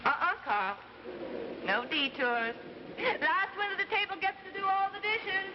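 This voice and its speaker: An actress playing a bossy, homely housewife in a 1950s radio drama. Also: female voice, very high-pitched, unhurried, background noise. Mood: bossy, teasing. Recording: muffled, reverberant old radio broadcast.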